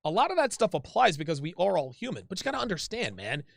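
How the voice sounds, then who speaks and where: A man with a fairly high voice hosts a call-in advice show in a loose, chatty way. He repeats words, restarts his sentences and keeps calling people "dude". The sound is close and dry, with no room around it. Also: wildly swooping pitch, quick pace, gravelly, dry recording. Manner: casual, repeats himself, calls people "dude".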